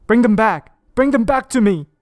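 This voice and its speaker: A man voicing a desperate, grieving older detective who shouts frantically. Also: high voice, expressive, normal speed, no room sound, voice pushed up. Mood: frantic.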